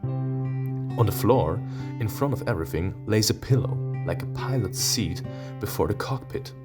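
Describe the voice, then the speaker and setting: A man playing a visual novel, reading its text aloud and breaking into excitable, playful reactions. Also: lowish voice, measured pace. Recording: music behind the voice. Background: game music with plucked guitar.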